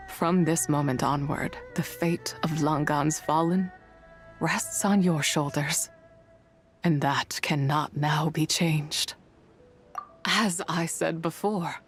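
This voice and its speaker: A woman's voice: fairly low pitch, lightly acted. A woman playing a solemn, once-wrathful immortal spirit in a game, speaking formally and with weary measure. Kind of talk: scripted game voice acting.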